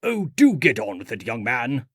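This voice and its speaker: Blustering, swooping pompous old gentleman. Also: mid-range voice, huge pitch swings, normal speed, husky, no echo, studio quality. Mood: impatient. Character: pompous, blustering older male authority, indignant. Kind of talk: comic dub voice acting.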